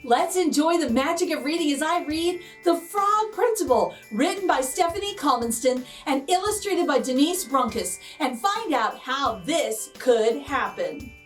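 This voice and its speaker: A woman reading a children's picture book aloud in an expressive, storytelling manner. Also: sits high, a little slow, few pauses, soft background music. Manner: expressive and animated.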